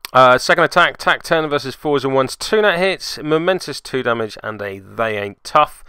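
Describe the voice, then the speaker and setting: Male game narrator, wry and self-mocking, clear forceful delivery, dry close-miked sound. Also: mid-range voice, dry recording. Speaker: host narrating a tabletop game battle report. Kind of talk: casual recorded commentary.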